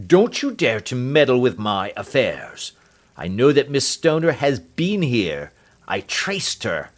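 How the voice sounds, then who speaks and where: A man's voice: medium pitch, animated, slow. A man voicing a fierce, furious old man who blusters and snarls threats. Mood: threatening.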